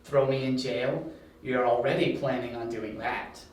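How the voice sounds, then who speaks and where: A man's voice: mid-range voice, flat delivery, a little slow, close to an everyday voice. Man playing smug criminal mastermind, mockingly polite, amateur audio drama, heavy echo, distant mic. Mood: taunting, amused. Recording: very echoey, distant, with faint hiss.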